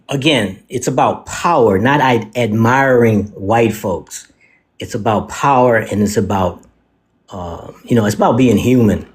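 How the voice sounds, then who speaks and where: A man with a Detroit accent, defiantly arguing his side in a heated panel debate. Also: fairly low pitch. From Detroit, Michigan. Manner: defiant, combative.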